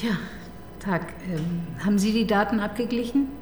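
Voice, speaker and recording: A woman's voice: mid-range voice, darkish tone, a little hiss or hum, close to an everyday voice. A woman playing a wry middle-aged detective. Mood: dry, skeptical. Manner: ironic, needling, quick to tease. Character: wry, probing married woman police detective. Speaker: detective chief inspector. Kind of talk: radio crime drama acting.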